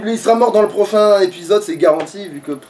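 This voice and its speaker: A man's voice: high voice, quick pace, a little mellow. A man chatting casually and swearing in slang as he hosts a video game playthrough. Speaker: let's play host. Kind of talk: casual gaming commentary with a co-player.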